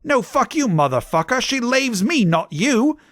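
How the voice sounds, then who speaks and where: A man voicing a jealous, hot-tempered teenage boy who yells melodramatically in a story. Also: fairly high pitch, huge pitch swings, moderate pace, dry recording, clean studio sound, pitched above the performer's own voice, heavily acted. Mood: furious. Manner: yelling, melodramatic. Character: jealous, hot-tempered teenage boys brawling over a girl.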